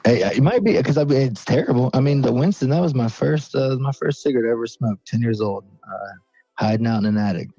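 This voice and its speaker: A man's voice: mid-range voice, fairly quick, vocal fry, dark tone, no room sound, faint music behind, low-quality recording. A man co-hosting a podcast in casual chat, slangy and calling everyone "bro". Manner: slangy, says "bro" constantly. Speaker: podcast co-host.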